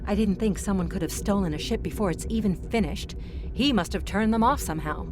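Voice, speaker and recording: A woman playing a sharp, commanding, sarcastic starship crew member in an audio drama, speaking in a forceful, exasperated way. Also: mid-range voice, a little fast, lightly acted, low-quality recording. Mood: grimly reasoning.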